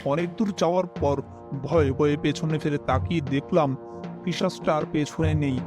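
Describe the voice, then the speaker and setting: A man's voice: a little high, fairly even intonation, normal speed. A man reading a scripted first-person horror story as its narrator. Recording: music behind the voice.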